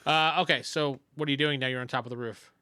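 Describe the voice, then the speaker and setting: Bright-voiced game master, close and dry. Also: male voice, mid-range voice, steady tone, fast talker, clear, resonant tone, no echo. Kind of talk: casual tabletop role-play narration and banter.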